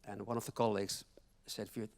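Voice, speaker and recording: A man speaking as a panelist at a conference workshop, talking haltingly with many repeated words and restarts. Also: fairly low pitch, flat delivery, gravelly, darkish tone. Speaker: panelist from a finance institution. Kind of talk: panel discussion remarks. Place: conference hall.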